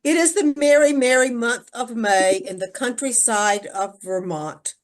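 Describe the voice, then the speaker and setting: Slow-paced woman narrating on stage. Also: a little high. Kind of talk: theatrical poetry narration.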